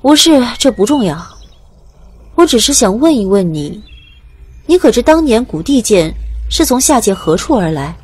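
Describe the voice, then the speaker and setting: Composed, commanding ancient god, higher-pitched. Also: female voice, sing-song, normal speed, darkish tone, breathy edge. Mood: composed, probing. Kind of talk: audio drama acting.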